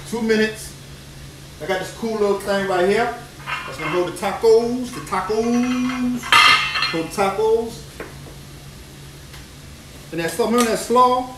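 Excitable New chef in an echoey kitchen. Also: male voice, on the high side. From New Orleans. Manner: exuberant, repeats phrases, chatty. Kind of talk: live cooking stream chat. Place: home kitchen, indoors. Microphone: phone camera moved around the kitchen. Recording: echoey kitchen, phone mic at a distance, noisy frying. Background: frying and sizzling food.

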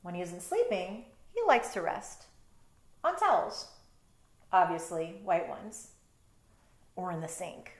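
A woman's voice: fairly low pitch, sing-song, slow, long pauses. A middle-aged woman reading a picture book aloud and adding chatty, explanatory asides as she goes. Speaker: storytime reader, teacher. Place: bedroom at home. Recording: roomy indoor echo.

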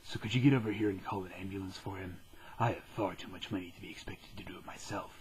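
A man voicing an arrogant, cold young billionaire in a parody anime dub, smug and deadpan with a breathy, hushed delivery. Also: deep voice, quick pace, a little hiss or hum, lightly acted.

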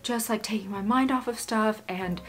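A woman's voice: mid-range voice, normal speed. A woman in her thirties, a plant vlogger, gushing with enthusiasm as she narrates a walk through an indoor plant shop. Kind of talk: casual shop-along narration. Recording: background music under voice.

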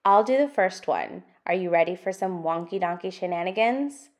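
Expressive woman reading to children, swooping intonation. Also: lowish voice. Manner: expressive, sing-song, deliberate phrasing for young listeners. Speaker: storytime host reading a picture book aloud. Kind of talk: interactive children's read-aloud narration.

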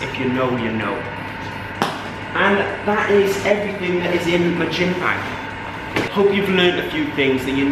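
A young man presenting a parody fitness vlog in a deadpan, mock-serious way, filmed in a large gym. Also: fairly high pitch. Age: young adult. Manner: mock-serious, dry delivery. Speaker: fitness vlogger. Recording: echoey gym with loud noise.

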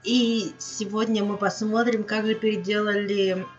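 A woman's voice: medium pitch, little pitch movement, unhurried. A woman hosting a casual gaming let's-play, talking affectionately to her viewers, with her voice a little off. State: voice slightly off, under the weather. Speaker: gaming video host. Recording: dull lo-fi mic, music behind. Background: game music.

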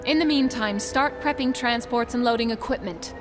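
An actress in an audio drama playing a tough, commanding rebel leader, assertive and sardonic. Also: female voice, a little high, moderate pace, over music, close to an everyday voice.

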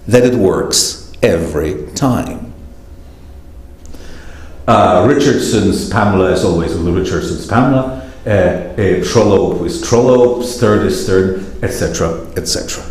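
A man's voice: on the low side, expressive, a little slow, a little mellow. A middle-aged man giving a conference keynote from a podium, wry and self-deprecating, with many hesitations. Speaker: keynote speaker. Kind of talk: conference lecture. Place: large lecture hall, standing at a podium. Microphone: podium mic, far camera. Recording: very echoey hall.

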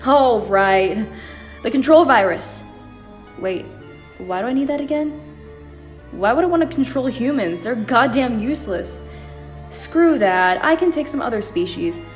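A woman's voice: on the high side, lively intonation, measured pace, pauses often. A woman playing a cheeky, flippant alien mad scientist in a fan audio drama, with a sassy, sarcastic, casual delivery. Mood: breezy. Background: faint music.

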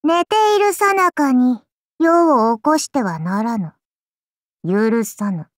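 A woman's voice: high voice, expressive, slow pace, no echo, studio quality. A woman playing a childlike, playful serpent-god oni in an anime game, speaking in a haughty, archaic lordly manner with indulgent teasing. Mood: imperious, displeased. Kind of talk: anime game character voice lines.

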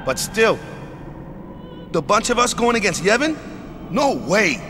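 An actor playing a stubborn, devout, hot-headed young athlete in a video game, talking colloquially with little tag questions. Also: male voice, high voice, big pitch swings, unhurried, background noise. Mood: indignant. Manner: colloquial, tags sentences with yeah, you know.

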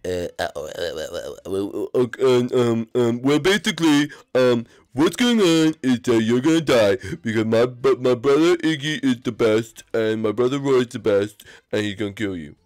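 A man's voice: mid-range voice, normal speed, a little mellow, no echo, lightly acted. A dim, flustered Koopa brother, played by a man, who stumbles over endless "uh"s before blurting out his point. He fumbles his way through in a cartoon skit. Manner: heavy stammering, many filler sounds.

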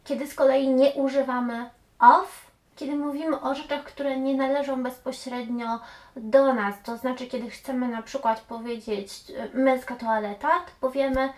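A woman's voice: high-pitched, fairly even intonation, moderate pace. A woman in her thirties, an English teacher, patiently explaining grammar to camera and dropping in English example phrases, recorded in a small room. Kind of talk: explanatory language lesson to camera. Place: small room indoors with bookshelves.

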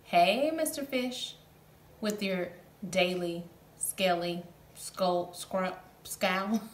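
A woman voicing a gently floating male jellyfish who coaxes and gently scolds a friend. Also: fairly low pitch, extremely slow. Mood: coaxing. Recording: roomy echo, faint background hum.

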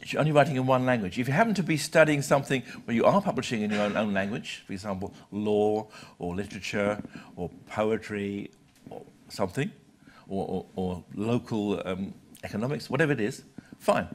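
A man's voice: fairly low pitch, brisk. A British man in his sixties giving a live lecture to an audience in a conversational, emphatic way with short clipped phrases. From Britain. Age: 60s. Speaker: lecturer, journal publisher. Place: lecture hall, speaking from a podium. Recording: live talk with audible background noise.

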